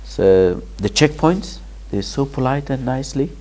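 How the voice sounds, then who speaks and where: A man from Afghanistan answering interview questions as a guest, pausing and restarting often. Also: medium pitch, expressive, dark tone. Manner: hesitant, many restarts and fillers, laughs in places. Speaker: guest, charity founder.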